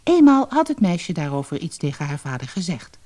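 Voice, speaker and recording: A storyteller narrating a fairy-tale audiobook in an expressive way. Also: medium pitch, brisk. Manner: expressive storytelling, acting out dialogue.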